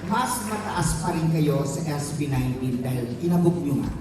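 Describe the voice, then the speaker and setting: A man's voice: on the high side, expressive, fairly quick, a little mellow. A man, an entertainment columnist, asking a blunt, needling question at a press event. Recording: echoey hall from far off, with music.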